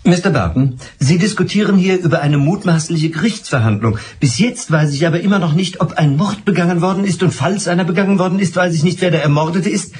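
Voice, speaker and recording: An actor in a German radio drama playing a blunt, exasperated police inspector who questions in a curt, impatient way. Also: male voice, on the high side, fast talker, few pauses, a little mellow, slight background noise, close to an everyday voice. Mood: irritated, official.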